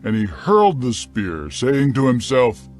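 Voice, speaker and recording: A man narrating a dramatized scripture account in a measured, deliberate storytelling style. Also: mid-range voice, sing-song, soft background music.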